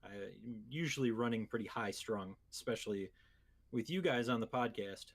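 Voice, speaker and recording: A male co-host with an average-pitched voice. He speaks in a casual, conversational way, as in podcast banter around a sponsor spot. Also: moderate pace.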